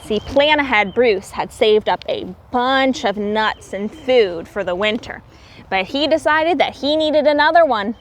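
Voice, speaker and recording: A woman reading a story aloud to young children as a teacher, expressive and explaining things simply. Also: on the high side, unhurried, a little hiss or hum.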